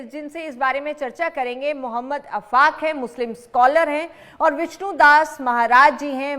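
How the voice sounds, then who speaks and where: A woman anchors a live television news debate in a high, bright voice.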